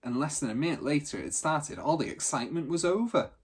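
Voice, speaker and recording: A man reading a children's story aloud in an expressive, dramatic narrating style. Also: a little high, fast, breathy edge.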